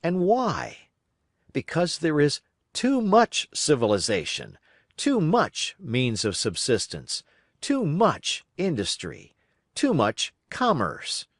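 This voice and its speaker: A man reading a political text aloud as scripted audiobook narration, in measured, emphatic, oratorical phrasing. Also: on the high side, wildly swooping pitch, fairly bright tone, dry recording, clean studio sound. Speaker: audiobook reader.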